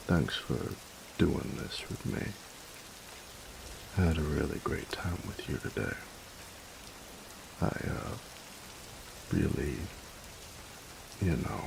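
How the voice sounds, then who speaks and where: A man playing a gruff young bully turned affectionate boyfriend in an intimate roleplay audio drama, slangy and teasing, halting when sincere. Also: very deep voice, noisy background, exaggerated voice. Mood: shy, sincere. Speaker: voice actor.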